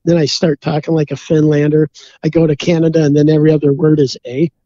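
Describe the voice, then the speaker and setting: A man's voice: on the high side, little pitch movement, fast talker, mellow, no echo. Easygoing rambling man, dull lo-fi sound. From Minnesota. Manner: rambling, easygoing, many "you know" fillers. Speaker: guest, beer brewer. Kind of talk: casual interview chat.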